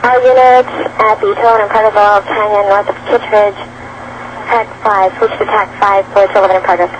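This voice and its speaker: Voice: mid-range voice, little pitch movement, a little fast. A police dispatcher relaying unit reports in clipped procedural phrases over a radio channel. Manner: clipped, procedural, repeats messages. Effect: police radio transmission. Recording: muffled, noisy police radio band.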